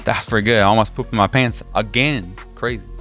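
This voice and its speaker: A man speaking as game master and host of a tabletop horror game, in a halting, informal way with jokey asides. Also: fairly low pitch, a bit bright, soft background music. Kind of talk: narrating a tabletop horror game, casual banter.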